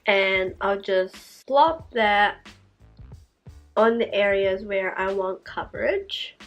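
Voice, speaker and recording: A young woman with a raspy, congested voice, clearly sick and breathing heavily as she talks. She takes her time, speaking in an unhurried, easy way through a casual beauty tutorial, with soft music playing faintly underneath. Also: mid-range voice, lo-fi sound. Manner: breathy, heavy breathing, light laughs. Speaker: beauty vlogger.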